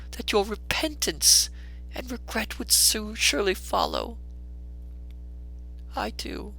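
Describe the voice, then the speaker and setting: A woman playing a gentle, steadfast, sorrowful young woman in a dramatic storybook reading, measured and solemn. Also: a little high, vocal fry, heavily acted, rough recording.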